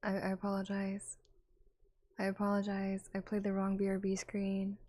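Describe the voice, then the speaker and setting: A woman chatting on a live ASMR stream, moving between breathy whispers and soft speech close to the microphone. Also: medium pitch, completely flat delivery, normal speed. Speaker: streamer, ASMR roleplayer and voice actor.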